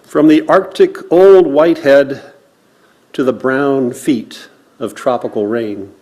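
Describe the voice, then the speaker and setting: A man speaking at a worship service, reciting in a solemn, measured way with deliberate pauses. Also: mid-range voice, warm tone, rough recording. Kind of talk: land acknowledgement and poetry recitation to a congregation.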